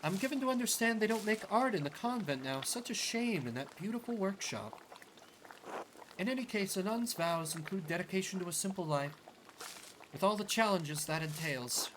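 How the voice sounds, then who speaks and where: A man plays a devout ex-nun from a story game, earnest and penitent. The voice is raised and very varied in melody, with measured, formal phrasing over clear background noise. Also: high voice, lively intonation, pitched above the performer's own voice. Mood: earnest, grateful.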